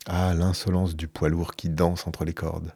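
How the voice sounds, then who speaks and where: Deep dark voiced radio guy reading a book out loud, measured and emphatic, dry close studio sound. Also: little pitch movement, creaky, breathy edge, no room sound. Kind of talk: reading a book aloud, then a book review.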